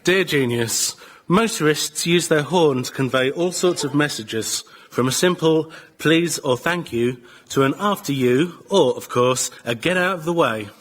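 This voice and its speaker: A man presenting his idea as a show guest, politely and in a measured way. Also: fairly high pitch, fairly bright tone. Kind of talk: prepared pitch and polite explanation.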